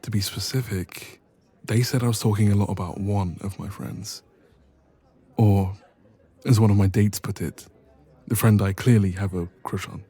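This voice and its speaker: A man's voice: on the low side, steady tone, normal speed, mellow, breathy edge. A man playing a bashful, evasive best friend with a secret crush in an intimate romance audio roleplay. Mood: embarrassed, hesitant. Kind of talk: romance ASMR roleplay, intimate one-sided conversation.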